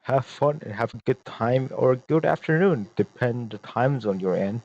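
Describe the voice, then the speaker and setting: A man speaking casually and a little haltingly to his viewers as an amateur creator, on a recording with a buzz. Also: medium pitch, animated, a little mellow, lo-fi sound. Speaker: amateur voice actor and video creator. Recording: buzzing noise.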